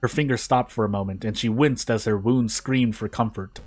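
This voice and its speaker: A man's voice: on the low side, normal speed, a little mellow. A man informally reading a story aloud, now and then stumbling and rereading phrases. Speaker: fan fiction reader on a gaming video.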